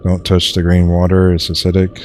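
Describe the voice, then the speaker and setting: Young guy streaming a game, really low deep voice, dead flat monotone, bit of faint noise behind. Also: a little fast. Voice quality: monotone, low-key delivery. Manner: rambling, hesitant, many fillers and restarts. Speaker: streamer playing a survival game. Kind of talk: casual gameplay commentary.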